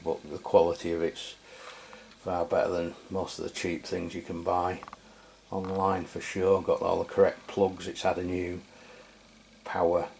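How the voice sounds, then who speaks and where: A man hosts a casual hands-on review in a deep voice. He talks in a matter-of-fact, rambling way, feeling for his words as he goes. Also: gravelly, a little mellow, slight background noise. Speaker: radio review host.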